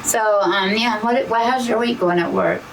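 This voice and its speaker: A woman co-hosting a casual hobby chat, hoarse and losing her voice, rambling with frequent restarts. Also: medium pitch, normal speed, recorded from a distance, background noise. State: hoarse, losing her voice from talking too much. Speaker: co-host of a diamond painting channel.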